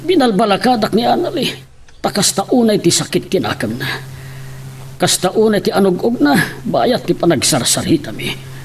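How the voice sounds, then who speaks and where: A man's voice: high-pitched, big pitch swings, normal speed. A man playing an older, concerned father giving earnest counsel in a melodramatic audio drama. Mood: troubled.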